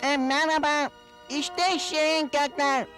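A woman's voice: high voice, little pitch movement, slow, over music. A woman voicing a plucky, confident little athletic creature in a children's cartoon, eager and upbeat. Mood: proud.

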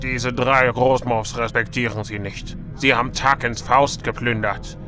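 Male actor as older admiral, commanding and sceptical, medium pitch, music underneath. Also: fairly even intonation, measured pace, close to an everyday voice. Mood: stern.

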